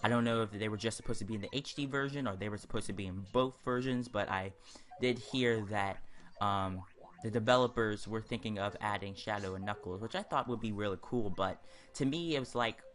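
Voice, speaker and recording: A man's voice: fairly low pitch, fairly quick, hardly any pauses, fairly bright tone. A man giving casual, rambling let's-play commentary, sharing his opinions as he goes. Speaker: host of a gaming video series.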